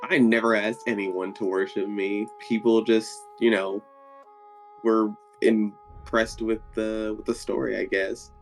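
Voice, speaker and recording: A man playing a wary, mild-mannered adventurer in casual tabletop roleplay, talking in a hesitant, halting way. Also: fairly low pitch, little pitch movement, creaky, soft background music, low-quality recording.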